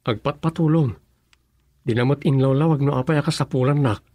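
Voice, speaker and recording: An actor as an earnest young man in a radio drama. His mid-pitched voice is husky and dry, and he sounds concerned and questioning. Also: a little fast, mellow, no room sound, lightly acted.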